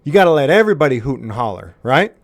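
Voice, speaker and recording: A man's voice: medium pitch, sing-song, quick pace, vocal fry, dry recording. A man making a prank phone call to a police department, mock-serious and faux-sincere, often restarting his sentences.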